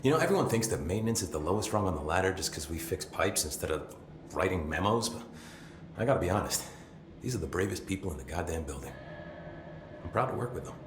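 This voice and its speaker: Wry game security chief, low voice, informal rambling, loyal and nervy, roomy echo. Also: male voice, sing-song, quick pace, background noise. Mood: warm, proud. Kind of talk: video game dialogue.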